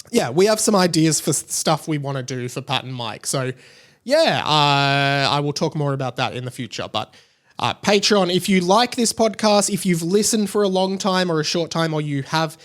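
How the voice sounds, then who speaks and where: Young aussie guy doing a solo podcast, slightly high voice, rambly and informal, kinda self-deprecating. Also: fairly bright tone. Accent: Australian. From Australia. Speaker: podcast host. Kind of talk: casual solo podcast chat.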